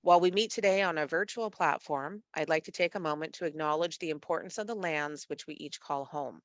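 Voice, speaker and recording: A woman with a nasal voice from a cold, hosting a webinar in a measured, read-aloud manner over a video call. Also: deep voice, dry recording. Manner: measured, read-aloud delivery with occasional fillers. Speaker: webinar host, executive director of a literacy network. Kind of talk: scripted webinar presentation.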